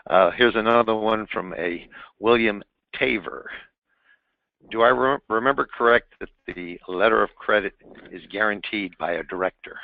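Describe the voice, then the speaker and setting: A man moderating an investor call over the telephone, reading out questions in a halting, fumbling way. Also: fairly low pitch, gravelly, no echo. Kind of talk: unscripted question-and-answer on an earnings call. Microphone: telephone on a conference call. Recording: narrow tinny phone line.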